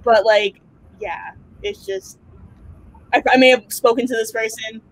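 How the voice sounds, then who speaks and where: A chatty young woman artist on a video call from home, telling animated stories with quoted asides. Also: a little high, a little slow, low-quality recording. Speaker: panelist artist. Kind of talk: casual video-call chat. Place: home room indoors. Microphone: laptop webcam mic on video call.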